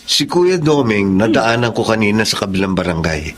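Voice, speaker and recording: A man's voice: lowish voice, very animated, normal speed, slight background noise. An actor playing an easygoing, plain-spoken man in a Filipino radio drama. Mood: affectionate.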